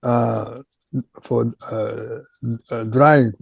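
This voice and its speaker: An older man, a native Korean speaker with a Korean accent, recalling his career as a retired chemistry professor in an oral history interview, speaking haltingly with many pauses and repeated words. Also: mid-range voice, fairly even intonation, vocal fry, a little mellow, husky, dry recording. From Japan.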